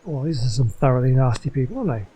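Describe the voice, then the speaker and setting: A man's voice: medium pitch, expressive, quick pace, warm tone, breathy edge, soft background music. A man giving casual, musing commentary while playing a game. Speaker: gameplay streamer.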